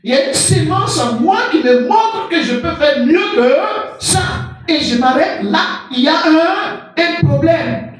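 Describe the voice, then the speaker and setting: High-voiced preacher in an echoing hall. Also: male voice, a little slow. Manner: animated preaching with everyday examples. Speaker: pastor. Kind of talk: sermon. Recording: echoey hall from far off with music.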